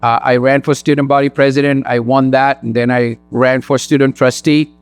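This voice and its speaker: A man's voice: medium pitch, level tone, smooth, no echo. Earnest man from Sri Lanka. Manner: earnest, speaks in quick bursts. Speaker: guest speaker. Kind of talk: personal talk at a community event. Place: mosque.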